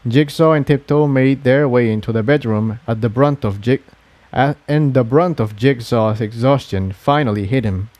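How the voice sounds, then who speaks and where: A man's voice: medium pitch, moderate pace, a little mellow, no echo. Male story narrator, dry close sound. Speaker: fan fiction reader.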